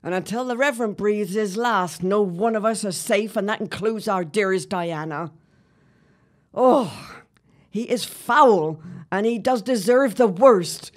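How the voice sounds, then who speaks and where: A woman acting as a sharp-tongued wife and mother in a period audio drama, speaking bluntly and emphatically. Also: mid-range voice, unhurried, natural voice. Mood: bitter, vengeful.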